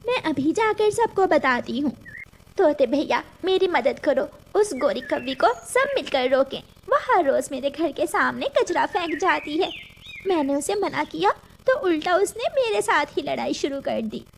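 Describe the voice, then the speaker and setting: A woman's voice: sits high, big pitch swings, measured pace, vocal fry, a little hiss or hum, full character voice. A woman voicing an aggrieved mother sparrow in a children's cartoon, earnest, scolding and complaining. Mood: indignant. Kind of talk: children's cartoon story dub.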